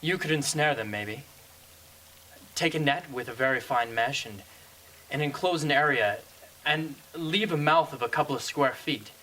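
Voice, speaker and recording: A man plays an earnest young rebel hunter in an amateur radio play. His voice is bright and forceful, mid-pitched and stubborn in manner. A faint noise sits behind it. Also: moderate pace, close to an everyday voice, low-quality recording. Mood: thoughtful. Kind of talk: amateur radio drama acting.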